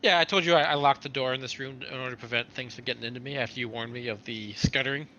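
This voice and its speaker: A man with a bright, forceful voice of middling pitch plays a tabletop roleplaying game, talking casually in character. There is a little background noise. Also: fairly even intonation, very fast, lo-fi sound.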